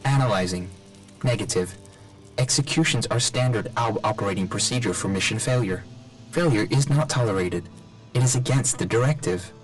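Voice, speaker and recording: An actor playing an emotionless military robot drone in a video game, giving literal, clipped status reports. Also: male voice, lowish voice, normal speed, soft background music, natural voice, rough recording. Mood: impassive, reporting.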